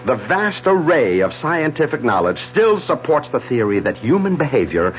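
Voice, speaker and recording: A man's voice: mid-range voice, sing-song, moderate pace, close to an everyday voice. An actor playing a skeptical male doctor, anxious and stammering, in a radio drama style. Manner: stammering, incredulous. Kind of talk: 1970s radio drama acting. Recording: muffled old radio broadcast with music.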